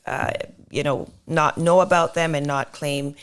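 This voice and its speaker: A woman explaining accounting on a podcast, patiently walking through step-by-step examples with many fillers, her voice slightly hoarse. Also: low voice, fairly even intonation, a little slow. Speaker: accountant guest.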